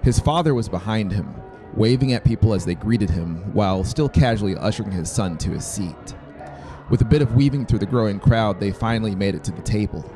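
A man's voice: on the low side, animated, fairly quick, warm tone. A man in his thirties reading scripted fiction as an audiobook narrator, close on the mic in a small room. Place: small brick-walled room, indoors. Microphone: close dynamic mic on boom stand. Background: faint music.

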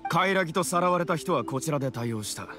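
A man's voice: a little high, expressive, quick pace, music in the background, close to an everyday voice. A man playing a stern, officious samurai officer in a Japanese game, formal, curt and commanding. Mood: stern, official. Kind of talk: Japanese anime game voice acting.

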